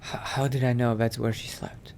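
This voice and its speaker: A man playing an anxious young mage apprentice in a video game roleplay, talking haltingly and repeating himself. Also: medium pitch, level tone, a little mellow, a little hiss or hum, natural voice. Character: anxious young male mage apprentice fearing he is becoming a vampire.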